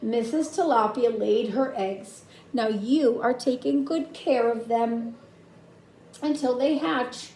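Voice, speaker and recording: A gentle, polite father seahorse from a storybook, played by a woman, with a high, lilting voice. He speaks slowly and offers encouragement. There is some room echo around him. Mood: encouraging. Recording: roomy echo, faint background noise.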